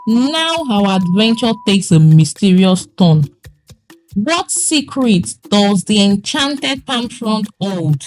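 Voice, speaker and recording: A woman with a Nigerian accent tells a folktale as host, in a theatrical, suspenseful way with deliberate phrasing. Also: medium pitch, a little mellow, poor audio. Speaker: storyteller and host. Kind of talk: dramatic folktale storytelling.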